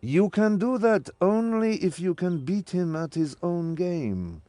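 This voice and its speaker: A mysterious desert guide from a fantasy game, shrewd and patronising. The voice is dark and slow, with lively turns and a dry recording. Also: male voice, high voice, no echo. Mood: sly bargaining. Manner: slow, paternal, self-important. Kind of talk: fantasy video game voice acting.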